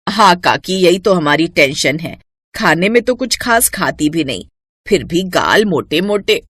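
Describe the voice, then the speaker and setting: Woman as sharp-tongued mother-in-law, middle-aged, scolding, sarcastic, animated intonation, cartoon story. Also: on the low side, measured pace, husky, dry recording, heavily acted. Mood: exasperated.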